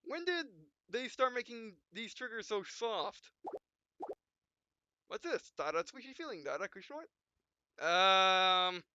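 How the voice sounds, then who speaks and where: Male gamer, high and bright, reading game text aloud with mock drama and casual asides. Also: very animated, slow, pauses often, smooth, no echo, lo-fi sound. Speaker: let's play gamer.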